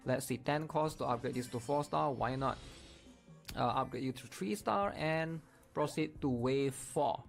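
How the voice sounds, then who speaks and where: A man's voice: medium pitch, unhurried, vocal fry, soft background music. A man giving casual, explanatory gameplay commentary, often checking in with his viewers. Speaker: gaming video creator.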